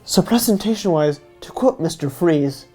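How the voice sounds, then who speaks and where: A man's voice: on the high side, expressive, normal speed, warm tone. A man giving a scripted video review in an earnest, enthusiastic fan's delivery. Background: faint music.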